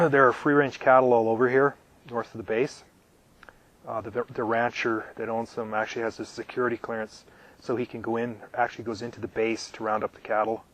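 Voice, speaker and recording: A man hosting a live question-and-answer stream, halting and full of ums, losing his voice and clearing his throat often, talking from his RV out in the desert. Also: medium pitch, flat delivery, fairly bright tone, low-quality recording. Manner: halting, with many ums and repeated words. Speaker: channel host answering viewer questions. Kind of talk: live casual Q&A.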